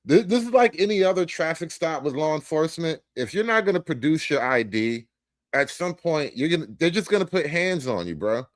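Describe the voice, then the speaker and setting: A man reacts to a video in a casual, profane way, mocking what he sees. His voice sits a bit high and moves a lot in pitch. It is recorded close and dry, with no room sound. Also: very animated, fairly quick, no room sound.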